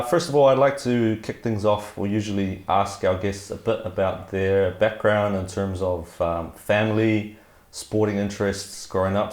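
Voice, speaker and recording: A man's voice: on the low side, a little mellow. A man hosting a podcast, introducing and questioning a guest in a casual interview style. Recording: echoey, roomy sound.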